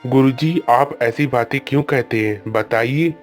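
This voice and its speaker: A man's voice: mid-range voice, measured pace, soft background music, natural voice. A man voicing a worried old king who pleads with his guru and scolds his daughter in a cartoon story. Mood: pleading. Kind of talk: Hindi cartoon storybook voice acting.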